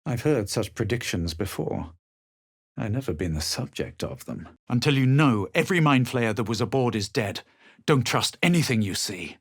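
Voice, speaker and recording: An actor playing a haughty, brooding young adventurer in a fantasy video game, speaking in a measured, dry, superior way. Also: male voice, medium pitch, big pitch swings, dry recording. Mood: brooding, grave. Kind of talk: video game voice acting, dramatic narration and remarks.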